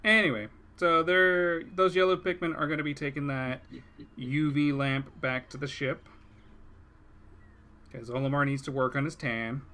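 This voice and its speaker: A man's voice: fairly high pitch, fairly even intonation, a little slow, pushed and bright. A man giving easygoing, joking commentary as he co-hosts a game livestream. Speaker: co-host running a game livestream. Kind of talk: casual gameplay commentary.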